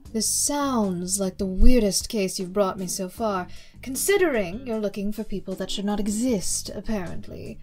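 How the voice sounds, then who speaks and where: Woman acting slow, sly information broker. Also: mid-range voice, a little mellow, natural voice. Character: sly, amused female information broker, coolly sarcastic. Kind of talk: character voice demo acting.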